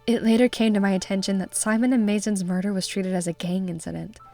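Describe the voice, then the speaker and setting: Woman acting an earnest young storyteller, medium-pitched. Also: fairly even intonation, quick pace, dark tone, lightly acted. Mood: haunted. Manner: confessional, addressing one listener. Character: earnest, troubled young female witness narrating memories. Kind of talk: scripted visual novel narration. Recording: music behind the voice.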